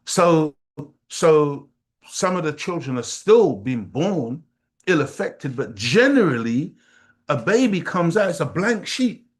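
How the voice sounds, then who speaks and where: A man's voice: a little high, sing-song, takes it slowly. A middle-aged man from Jamaica giving an impassioned community teaching talk, emphatic and preacher-like, repeating phrases for weight. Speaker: study group speaker.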